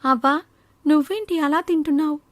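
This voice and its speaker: A cheerful, curious village child, acted by a woman for a cartoon moral tale. The pitch sits very high and the sound is close and dry. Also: a little fast, dry recording, natural voice. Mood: puzzled. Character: curious, cheerful young village girl, farmer's daughter. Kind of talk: animated moral story acting.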